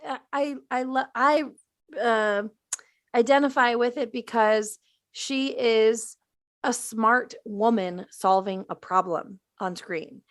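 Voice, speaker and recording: A woman's voice: fairly high pitch, slow, dry recording, clean studio sound. A woman in a casual panel chat, speaking informally with emphatic enthusiasm.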